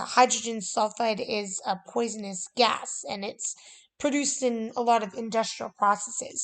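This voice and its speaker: A woman teaching a recorded chemistry lesson in a relaxed, conversational way with frequent fillers. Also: fairly high pitch, low-quality recording.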